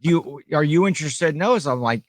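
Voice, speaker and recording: A middle-aged man co-hosts a casual podcast and retells a story, putting on the voices of the people he talks about. His voice sits a little high for a man, and the recording is close and dry with no room sound. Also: lively intonation, a little fast, no echo. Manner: storytelling, acts out quoted people. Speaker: podcast co-host. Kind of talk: casual chat.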